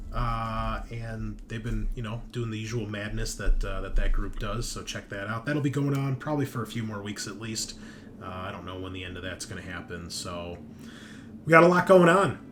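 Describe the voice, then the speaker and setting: A man's voice: fairly low pitch, brisk, fairly bright tone, a little hiss or hum. A man hosting a tabletop gaming show, talking casually and informally in a hesitant, rambling, conversational way. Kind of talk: casual chat, rambling announcements of upcoming episodes.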